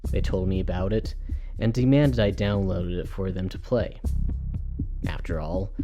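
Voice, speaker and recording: A man reads a scripted first-person horror story in a measured, dramatic storytelling manner. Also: low-pitched. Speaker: storyteller, narrator. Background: music.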